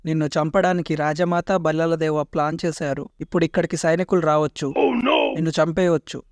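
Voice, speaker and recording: A man's voice: fairly high pitch, little pitch movement, quick pace, clear, resonant tone, dry recording, lightly acted. A man playing a loyal, anxious old warrior uncle in a comic cartoon parody. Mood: urgent, exasperated.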